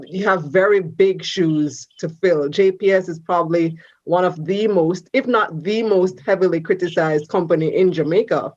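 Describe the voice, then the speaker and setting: A woman hosts a TV business interview and asks direct, pressing questions. Her voice is mid-pitched and comes through slightly dull and lo-fi. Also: a little mellow. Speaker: host.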